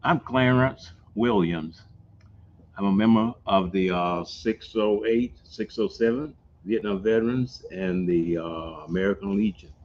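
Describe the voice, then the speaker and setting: A man, a veteran guest speaking in a slow, halting, plain-spoken way. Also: on the low side, a little mellow. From Montgomery, Alabama. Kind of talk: casual interview. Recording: dull lo-fi recording with faint noise.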